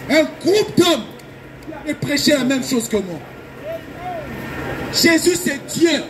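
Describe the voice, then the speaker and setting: A man's voice: very high-pitched, expressive, takes it slowly, background noise. A man from Belgium preaching an evangelistic sermon in an urgent, exhorting and emphatic way, repeating his phrases. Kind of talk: fervent open-air evangelistic sermon.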